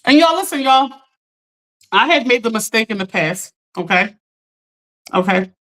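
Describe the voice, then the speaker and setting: Chatty woman on a livestream. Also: moderate pace. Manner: chatty, talks straight to chat, animated pitch jumps. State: recovering from lost voice. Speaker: livestream host. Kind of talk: casual livestream chat.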